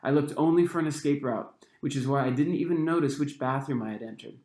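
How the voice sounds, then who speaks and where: A man, the author, reading his own young adult novel aloud with expressive storytelling. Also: mid-range voice, fast talker, roomy sound. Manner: expressive storytelling, acting out the dialogue.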